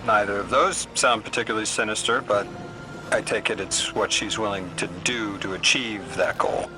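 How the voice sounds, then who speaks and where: A man's voice: normal speed, natural voice. A man playing a wry, worried video game character in conversational, slightly hesitant dialogue. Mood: skeptical. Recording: noisy game ambience, vehicle rumble.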